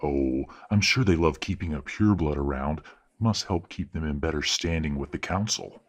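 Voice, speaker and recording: Man playing a very deep, husky, condescending werewolf father. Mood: smug, needling. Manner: measured, patronizing, coldly genteel. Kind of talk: audio roleplay drama acting.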